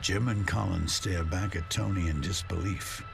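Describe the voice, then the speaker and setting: A man narrating a scripted sci-fi audio drama in a grave, measured, solemn manner. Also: low-pitched, steady tone, vocal fry, noisy background.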